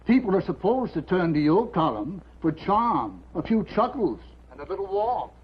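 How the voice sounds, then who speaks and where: An actor playing a stern, practical newspaper editor in a 1950s television drama, firm and admonishing. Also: male voice, high voice, sing-song, normal speed. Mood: firm reproof. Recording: muffled, noisy 1950s television soundtrack.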